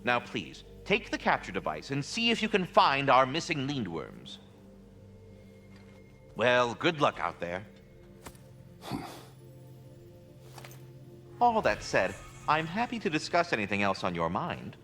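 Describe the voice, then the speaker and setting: An ancient squirrel caretaker in a fantasy game. The voice is bright and hugely animated, full of florid, formal courtesy and fussing. Faint music sits underneath. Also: male voice, medium pitch, slow, pauses often, heavily acted. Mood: formal, earnest. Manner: formal, wordy, florid politeness. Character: ancient, genteel, fussy immortal squirrel caretaker of a world tree. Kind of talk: theatrical video game dialogue.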